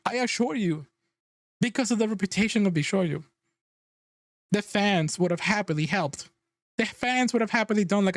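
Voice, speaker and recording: A man's voice: high voice, no echo. A man livestreaming a casual, exasperated reaction rant, emphatic and freely swearing. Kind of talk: casual ranting reaction commentary.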